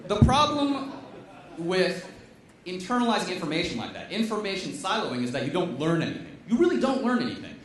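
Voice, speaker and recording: A man's voice: sits high, big pitch swings, fairly quick, a little hiss or hum. Wry man talking from an echoey stage. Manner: wry, emphatic, punchy delivery. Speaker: chemistry professor giving a comedic science talk. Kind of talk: stand-up style science talk. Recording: echoey room, voice from a distance.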